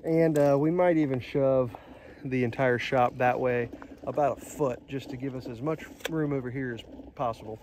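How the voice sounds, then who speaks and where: A man's voice: medium pitch, normal speed. Easygoing man explaining outdoors. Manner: relaxed, plain-spoken, lots of "uh". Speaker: vlogger building a pole barn. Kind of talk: casual how-to narration. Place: outdoors on a gravel building site. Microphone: handheld phone camera. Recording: outdoor phone audio with noise.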